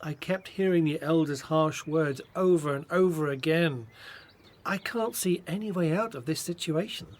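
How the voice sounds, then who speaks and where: An actor playing an anxious but loyal Hebrew brother in a Bible radio drama, speaking earnestly and hesitantly. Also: male voice, fairly high pitch, moderate pace, lightly acted. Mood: troubled, doubtful.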